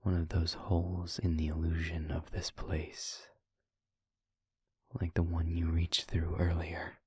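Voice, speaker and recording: Guy doing a brooding demon for audio rp, super low and breathy, halting, guarded but protective. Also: completely flat delivery, a little mellow, no echo, exaggerated voice. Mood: reluctant, somber. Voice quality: breathy, husky, half-whispered. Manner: slow, halting, formal phrasing with heavy pauses. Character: weary, brooding male demon, gravely guarded yet protective. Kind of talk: intimate scripted audio roleplay.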